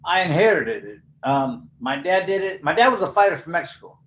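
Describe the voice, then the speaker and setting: Brief: older man, fairly high voice, casual rambling storyteller, roomy echo, dull lo-fi sound. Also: expressive, fairly quick, a bit bright, slight background noise. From California. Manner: laid-back, rambling, heavy "you know" fillers. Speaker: guest, former professional boxer.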